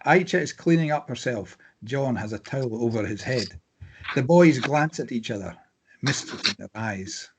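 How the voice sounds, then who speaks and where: A man reading screenplay stage directions aloud as scripted narration, with a slightly husky voice. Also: mid-range voice, expressive, moderate pace, noisy background.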